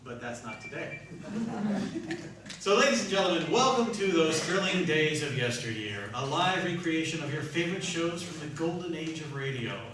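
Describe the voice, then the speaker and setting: A man's voice: on the high side, expressive, moderate pace, a crowd in the background. A man hosting a live theatre show, giving a prepared, showmanlike introduction to the audience. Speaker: host of a live radio theatre troupe. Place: large echoey room, live performance. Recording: very echoey room, distant mic, hiss.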